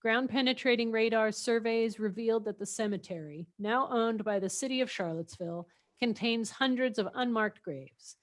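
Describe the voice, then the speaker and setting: A woman with a scratchy voice at a public committee meeting on a video call, reading text aloud in a measured way that trails off at the ends of phrases. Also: fairly high pitch. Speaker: committee member.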